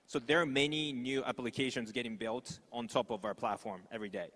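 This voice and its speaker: A man from Japan with a Japanese accent, a native Japanese speaker, giving an informal, halting conference presentation. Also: medium pitch, steady tone, a bit bright. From Osaka, Japan. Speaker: startup executive, conference speaker. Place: large conference hall stage. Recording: echoey hall with long reverb.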